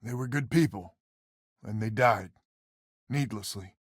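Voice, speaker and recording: A man's voice: fairly low pitch, measured pace, husky, no room sound, clean studio sound. An actor playing a wry, weary male space colonist who heads a settler family in a video game, with a folksy, sardonic manner. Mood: weary, grave.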